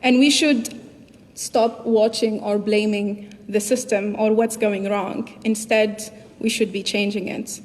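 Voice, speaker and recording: A woman's voice: mid-range voice, fairly even intonation, slow. A young woman from Tunisia with a Tunisian accent gives a motivational speech, addressing her audience directly. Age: young adult. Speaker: keynote speaker at a youth summit. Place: large indoor hall at a summit. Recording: echoey hall, long reverb, background noise.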